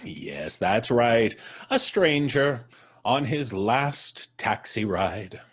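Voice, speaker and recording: A man playing a calmly cheerful doomed stranger. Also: mid-range voice, husky, heavily acted. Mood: serene. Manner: unhurried, amused, serenely cryptic. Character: calm, cheerful man expecting his death. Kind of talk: live radio drama reading.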